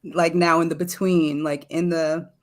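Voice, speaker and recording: A woman in casual, reflective conversation, speaking thoughtfully in halting phrases. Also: low voice, level tone. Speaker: poet, guest speaker.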